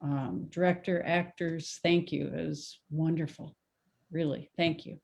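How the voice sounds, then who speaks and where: Older female playwright, lowish voice, unhurried pace, plainspoken and appreciative. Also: steady tone, gravelly, darkish tone. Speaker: playwright, retired English teacher. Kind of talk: casual panel discussion.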